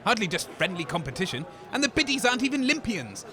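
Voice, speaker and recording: A man's voice: high voice, big pitch swings, quick pace, a crowd in the background. A man playing a grumpy, scheming radio co-host in a comedy broadcast, sneering and grumbling theatrically.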